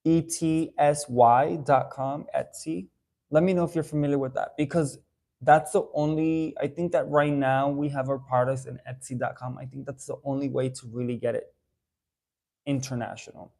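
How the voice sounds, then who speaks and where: Young adult man, mid-pitched, hosting a live stream; loose, chatty delivery with frequent fillers and restarts. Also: steady tone, normal speed, creaky, darkish tone. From Dominican Republic. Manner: chatty, reads out viewer comments, many fillers and restarts. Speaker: haircare brand owner answering live viewers. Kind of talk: casual live-stream chat.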